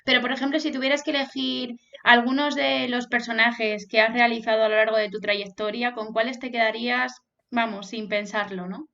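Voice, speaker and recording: A woman's voice: on the high side, flat delivery, moderate pace, a bit bright, muffled recording. A woman interviewer asking questions in a friendly, affirming way. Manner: friendly, affirming, laughs along.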